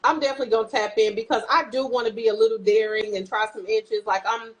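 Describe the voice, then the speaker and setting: A woman's voice: a little high, fairly even intonation, fast, poor audio. A woman in her forties hosting a podcast, asking questions in a chatty, easygoing way. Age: 40s. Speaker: podcast host. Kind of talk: casual podcast chat.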